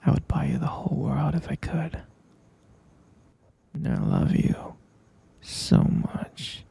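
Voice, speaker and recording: A man voicing an obsessive, possessive young boyfriend who keeps his partner captive, talking in a soft, coaxing whisper close to the mic. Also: mid-range voice, wildly swooping pitch, slow pace, gravelly, breathy edge, exaggerated voice. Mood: sweetly obsessive. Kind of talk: whispered ASMR roleplay.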